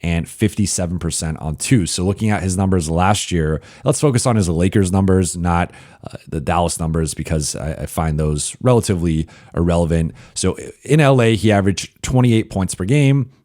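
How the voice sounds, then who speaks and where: A man who is a basketball reporter hosting a solo mailbag podcast, his voice hoarse and raspy, talking through statistics analytically with frequent "uh" pauses. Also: deep voice, a little mellow, no room sound, clean studio sound. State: hoarse, partly lost voice. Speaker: basketball reporter and show host.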